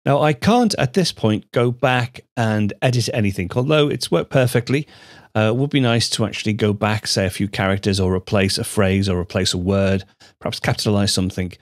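A man hosting a computer tutorial, explaining steps in a measured, steady way on a studio microphone. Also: fairly low pitch, no echo. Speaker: tutorial host. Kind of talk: screencast software tutorial narration.

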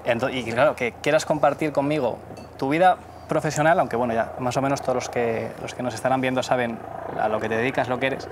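A man's voice: mid-range voice, steady tone, fast. A young adult man with a Castilian Spanish accent, hosting a casual, chatty interview. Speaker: interview host. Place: outdoor city terrace. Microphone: lapel mic. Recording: clear outdoor ambient noise.

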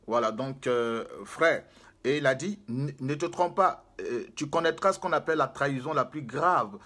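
A man's voice: mid-range voice, lively intonation, normal speed, pushed and bright. A man, preaching to a camera in a small room, emphatic and repetitive, gesturing as he speaks. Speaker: prophet and spiritual guide.

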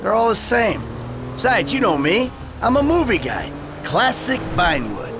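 An actor playing a brash, impatient middle-aged man with a gruff, emphatic manner in a video game. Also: sits high, sing-song, moderate pace, background noise. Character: brash, hot-tempered middle-aged movie-loving man.

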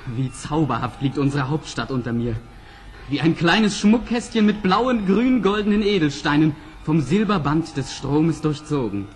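An actor playing an eager, pious young prince, theatrical and earnest, in a German fairy-tale radio play. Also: male voice, on the high side, normal speed, a little hiss or hum, close to an everyday voice. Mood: rapturous.

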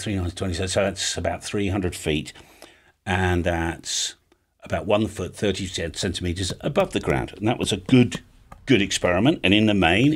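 A man in his fifties with a low-pitched voice talks through a practical explanation. He is chatty and informal, like a hobby channel host showing how something is done, and he often pauses to check that the listener follows. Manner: chatty, casual, often checks in with "all right?". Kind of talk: informal explainer, talking through a hand-drawn diagram. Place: home music studio room, indoors.

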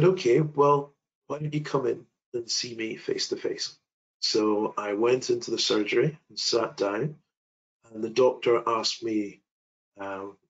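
A man's voice: medium pitch, highs cut off. Man telling a personal story in a webinar. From United Kingdom. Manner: earnest, reflective storytelling with hesitations. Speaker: webinar presenter. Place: home office. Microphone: video call webcam.

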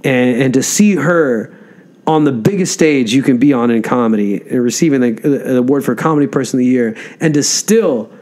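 A man's voice: medium pitch, moderate pace, darkish tone. Man telling stories conversationally. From Egypt until age eight, then America. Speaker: comedian and podcast interviewer. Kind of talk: casual conversational storytelling.

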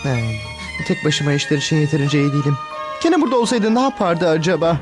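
Man playing a high-pitched, anxious sidekick. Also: lively intonation, fast, natural voice. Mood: nervous. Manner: hesitant, nervous. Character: timid, anxious male superhero sidekick. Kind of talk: animated cartoon dub. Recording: loud music under the voice.

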